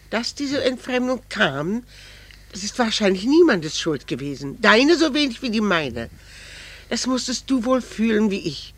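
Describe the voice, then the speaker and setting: An actress playing a grave, proud, middle-aged estranged wife in a radio play, with measured, formal, carefully articulated delivery. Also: female voice, fairly low pitch, breathy edge. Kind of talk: German radio play acting.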